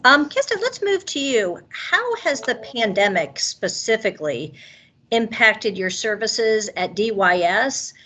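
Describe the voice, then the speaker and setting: A woman's voice: a little high, big pitch swings, a little slow, bright, forceful tone. A woman moderating a virtual meeting from an office, acknowledging speakers and putting questions to them.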